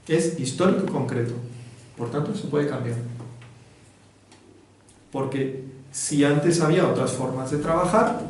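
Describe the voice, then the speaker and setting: A man's voice: medium pitch, a little mellow. Lecturing man in an echoey hall. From Venezuela. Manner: didactic, often checks with "¿no?" and "¿me explico?". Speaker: book presenter, foundation director. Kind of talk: informal public talk on Marxist theory. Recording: very echoey room, distant mic, faint noise.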